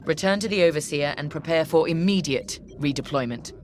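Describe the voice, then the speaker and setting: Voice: mid-range voice, a little fast, a little hiss or hum, natural voice. An actor playing a stern, controlled Imperial commander in a space game, giving formal mission briefings in a clipped, authoritative way. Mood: cold, commanding.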